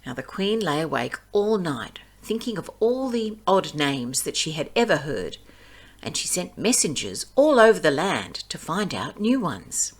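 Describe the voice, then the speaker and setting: A woman reading a fairy tale aloud as storyteller, in expressive scripted narration. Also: low-pitched, unhurried, husky.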